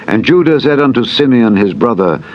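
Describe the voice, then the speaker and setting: A man's voice: mid-range voice, animated, a little fast, highs cut off. A man narrating a dramatized Bible reading in a solemn, formal manner. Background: music.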